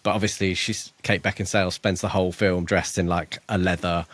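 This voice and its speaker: A man's voice: deep voice, level tone, a little fast, a bit bright, no room sound. A man co-hosting a book podcast, summarizing and chatting casually with stammering restarts. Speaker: podcast co-host.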